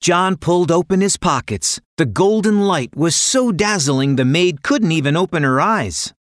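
A man's voice: fairly high pitch, animated, moderate pace, no echo, clean studio sound. A man narrating a children's fairy tale in a dramatic, playful storytelling manner. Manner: dramatic, playful sound words.

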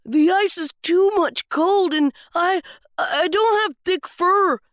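A woman's voice: very high-pitched, slow pace, fairly bright tone, no echo, clean studio sound, exaggerated voice. A woman voicing a timid, silly little boy pig who speaks hesitantly and stammers, in a children's bedtime story.

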